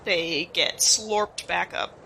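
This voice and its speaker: A woman's voice: lowish voice, slow, creaky, sharp tone, slight background noise, low-quality recording. A woman chatting casually as a player in a tabletop role-playing game.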